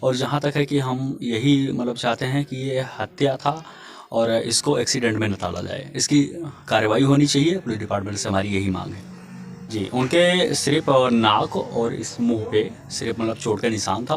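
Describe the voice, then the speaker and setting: Young man, halting spoken statement. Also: mid-range voice. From Uttar Pradesh, India. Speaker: victim's younger brother giving a statement. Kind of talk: on-camera interview statement.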